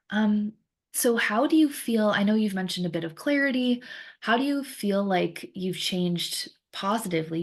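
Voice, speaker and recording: A woman coach questions her client on what sounds like a video call. Her voice sits a little low, and she speaks warmly, encouraging and praising between her questions. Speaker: life coach. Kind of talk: interviewing a client.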